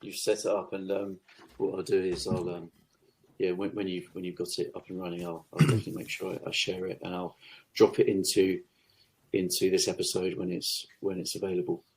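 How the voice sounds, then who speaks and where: A man hosting a podcast interview, agreeing keenly and thinking out loud. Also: sits low, little pitch movement, a little fast, noisy background. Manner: enthusiastic, agreeable, thinks aloud. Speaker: podcast host. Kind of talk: casual interview chat.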